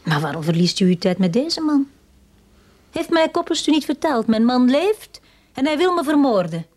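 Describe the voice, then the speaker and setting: A woman's voice: high-pitched, big pitch swings, moderate pace, darkish tone. A woman acting an anxious, insistent wife who seeks help in a radio play. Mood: puzzled, then urgent. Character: anxious, insistent wife convinced her husband is alive. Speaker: actor in a radio drama.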